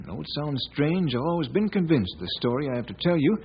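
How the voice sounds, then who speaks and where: An actor playing a polite, earnest bank president with a husky, raspy voice and formal manner in an old radio western. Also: male voice, on the high side, sing-song, quick pace, exaggerated voice. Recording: dull old radio recording.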